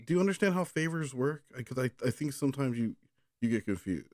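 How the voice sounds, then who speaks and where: A man's voice: mid-range voice, lively intonation, quick pace, a little mellow, no echo, studio quality. A man chatting casually and reading a story aloud on a phone call, coaxing and teasing in a rambling way. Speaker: bedtime story reader on a call.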